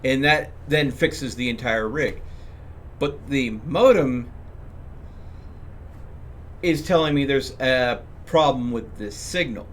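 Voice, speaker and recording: A man talking casually as he streams a game, commenting on his play and explaining things step by step in a rambling way. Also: medium pitch. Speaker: live streamer. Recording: clear background noise.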